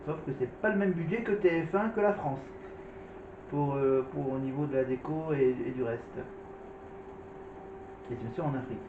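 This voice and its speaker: A man's voice: mid-range voice, normal speed, pauses often. A man hosting a casual vlog, commenting on clips with many fillers and restarts. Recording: echoey, distant, phone-like with noise.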